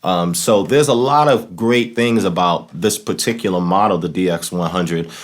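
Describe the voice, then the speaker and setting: A man in his thirties hosting a casual instructional show, talking to camera in a halting way as he searches for words. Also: low-pitched. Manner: halting, searching for words, often saying "you know". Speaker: host of a keyboard and talk box tutorial show. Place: small plain indoor room, home studio setup.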